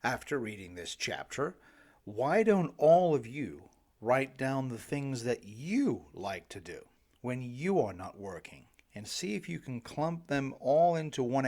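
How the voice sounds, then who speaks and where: A man's voice: mid-range voice, sing-song, a little slow. A middle-aged man reading his own self-help audiobook in a dramatic, emphatic storytelling manner. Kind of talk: scripted self-help narration.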